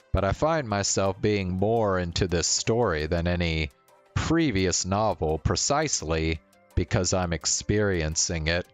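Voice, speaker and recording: A man streaming a visual novel, reading aloud and chatting in a casual way. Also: low-pitched. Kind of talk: narrated read-aloud and casual sign-off.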